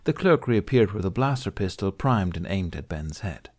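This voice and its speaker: A man's voice: sits low, animated, moderate pace, darkish tone. A man narrating scripted fiction as an audiobook reader.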